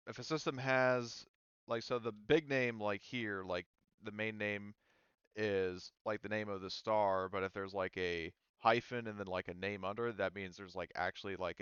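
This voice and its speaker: Smooth low-voiced man running a game. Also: fairly bright tone, no echo, dull audio. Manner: explanatory, informal. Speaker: game master. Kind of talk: casual tabletop roleplaying game talk.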